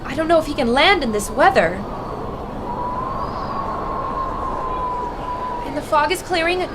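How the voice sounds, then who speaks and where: High-voiced, plucky radio newswoman. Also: expressive, fast talker. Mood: alarmed, urgent. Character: intrepid, determined young woman 1920s radio reporter. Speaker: actor in audio drama. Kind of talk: old-time radio drama acting. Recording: noisy ambience behind the voice.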